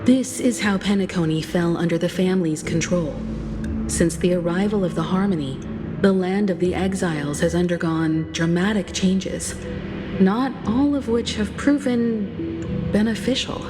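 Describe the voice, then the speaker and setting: Composed female companion for a game woman actor, thoughtful explainer, lowish pitch, clear music underneath. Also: moderate pace, in a large hall, lightly acted. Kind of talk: game cutscene dialogue.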